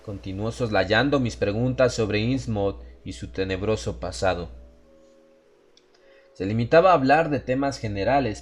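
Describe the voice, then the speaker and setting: Male narrator, horror audiobook: mid-pitched, scripted first-person storytelling, faint music underneath. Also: darkish tone. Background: music behind the voice.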